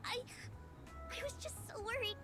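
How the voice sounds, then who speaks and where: Very high, fretful devoted girl. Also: normal speed, sharp tone, soft background music. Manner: fretful, gushing, formal address. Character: anxious, devoted, fussing girlish young woman. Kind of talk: scripted video game dialogue.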